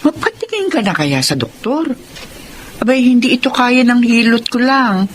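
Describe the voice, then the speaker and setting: A woman's voice: fairly high pitch, very animated, moderate pace, slight background noise. A woman acting a concerned, blunt middle-aged aunt, frank and fussing, in radio drama style. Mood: concerned, urging. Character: concerned, blunt older aunt and folk massage healer.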